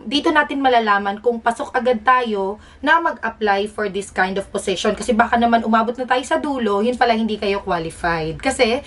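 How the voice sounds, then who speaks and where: A woman's voice: fairly high pitch, fairly quick, fairly bright tone, far from the mic. A Filipino woman explaining things casually to vlog viewers in a chatty, informal way with joking asides. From Philippines. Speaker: vlogger, air traffic employee.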